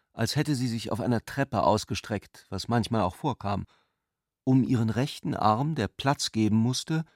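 A man's voice: medium pitch, no room sound, clean studio sound. A man reading scripted literary narration for a radio play as its storyteller.

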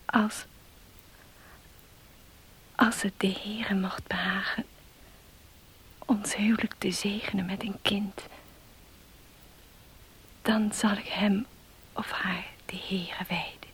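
Very breathy, gentle young bride. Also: female voice, medium pitch, little pitch movement, takes it slowly, long pauses, exaggerated voice, rough recording. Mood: devout, tender. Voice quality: breathy, hushed. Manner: soft, earnest, imploring. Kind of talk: radio drama acting.

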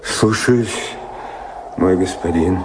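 An actor playing a dutiful, pedantic clerk, formal and deferential, in an audio drama. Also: male voice, sits low, slow, slight background noise, rough recording. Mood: deferential, fussy. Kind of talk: theatrical audio drama acting.

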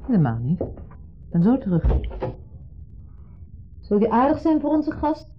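Polite peacemaking wife. Melodic, swinging intonation, vintage radio play with muffled sound. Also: female voice, a little high, sing-song, measured pace, husky, faint music behind, exaggerated voice. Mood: light, conciliatory. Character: polite, placating wife between husband and ex. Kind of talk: 1970s Dutch radio drama acting. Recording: muffled 1970s radio recording.

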